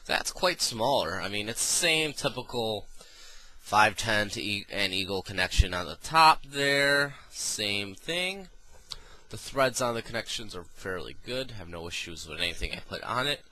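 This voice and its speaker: Deep-voiced man with a stuffy nose. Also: expressive, a little slow, pushed and bright. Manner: rambling, full of um and uh fillers. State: nasal from a cold. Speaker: product reviewer. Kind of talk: casual product review.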